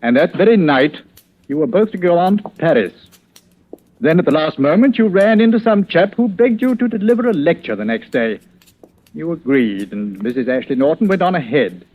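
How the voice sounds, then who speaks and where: An actor playing a kindly middle-aged police chief, measured and formal in an old radio mystery. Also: male voice, fairly high pitch, very animated. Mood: gentle explaining. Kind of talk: 1940s radio mystery acting. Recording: dull old radio recording.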